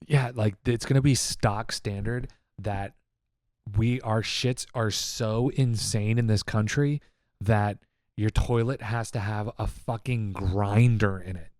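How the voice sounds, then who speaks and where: A millennial man reacting to videos with his wife, unhurried and crude. He swears freely and laughs at what he mocks. The sound is dry and close. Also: fairly low pitch, fairly even intonation, darkish tone, no echo. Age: 30s. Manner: profane, mocking, laughs often. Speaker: podcast co-host. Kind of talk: casual reaction chat.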